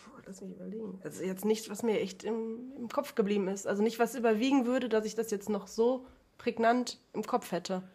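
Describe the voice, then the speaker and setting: A woman's voice: fairly high pitch, steady tone, a little fast, warm tone, slightly rough. A young woman, a guest on a podcast, chatting casually and laughing often between her stories.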